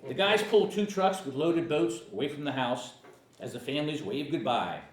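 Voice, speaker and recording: A man's voice: fairly high pitch, lively intonation, moderate pace. A man reading screenplay action lines at a comedic table read, casual, stumbling over words and chuckling. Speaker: screenplay narrator and reader. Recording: echoey room, far from mic, faint noise.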